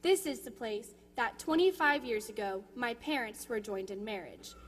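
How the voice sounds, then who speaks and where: Seeking a teen young woman with a higher-pitched voice, reading a personal testimonial in an echoing church hall. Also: normal speed, faint music behind. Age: 16, teenager. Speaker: youth member giving a testimonial. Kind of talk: prepared personal testimonial read aloud at church. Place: large indoor church sanctuary.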